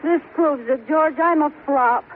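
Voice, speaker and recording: An actress playing a tearful, self-pitying, comically insecure young housewife in an old radio sitcom, whiny and dramatic. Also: female voice, high voice, a little slow, narrow, tinny audio. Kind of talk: 1950s radio sitcom acting. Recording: scratchy old radio broadcast, studio audience, noisy.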